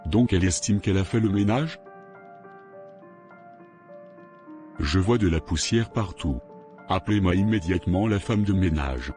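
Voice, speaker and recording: A man playing a haughty, boastful rich bachelor in an animated drama, with a pompous manner. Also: sits low, close to an everyday voice. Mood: scornful, irritated. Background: music.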